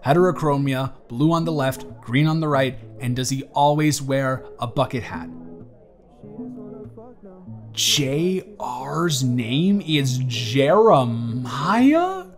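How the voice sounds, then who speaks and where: A man's voice: mid-range voice, lively intonation, slow pace, faint music behind. A young man acting a wry, awkward but kind college student in an intimate, conversational roleplay audio, with a dry, teasing and hesitant delivery. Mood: puzzled, curious. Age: young adult.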